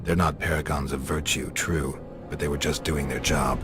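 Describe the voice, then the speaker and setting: A man playing a calm, pragmatic companion in a video game, measured and understated. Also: rumbling low, music in the background. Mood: calm, resolute. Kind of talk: video game dramatic dialogue.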